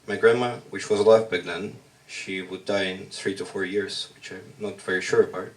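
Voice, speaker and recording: A man whose first language is Russian performs a spoken-word poem in measured, halting short phrases at a slam poetry workshop. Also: low voice, fairly even intonation, distant mic, rough recording.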